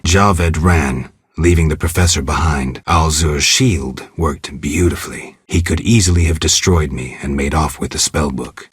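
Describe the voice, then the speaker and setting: A man voicing a stoic, world-weary monster hunter in a video game, with a gravelly, husky voice and terse, deadpan delivery. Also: rumbling low, no room sound, studio quality, full character voice. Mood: cool, resolute. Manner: terse, deadpan, clipped phrases. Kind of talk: video game monologue, reflective narration.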